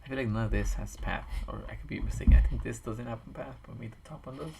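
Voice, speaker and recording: A man with a raspy, tired voice chatting casually and rambling as he builds a game map on a stream, talking into a desk microphone. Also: medium pitch, fast, dark tone, slight background noise. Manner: rambling, thinks aloud with restarts. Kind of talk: casual commentary while building.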